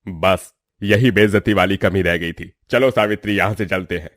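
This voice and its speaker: A man's voice: sits low, normal speed, a bit bright, no room sound, studio quality. A man playing a proud, demanding middle-aged father of a groom in a pompous manner. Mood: offended.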